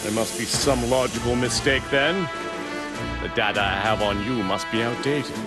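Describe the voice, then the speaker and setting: A man's voice: mid-range voice, normal speed, lightly acted. A man playing an arrogant, menacing insect android in a video game, suave, smug and theatrical. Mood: smug, curious. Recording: loud music behind. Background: loud game music.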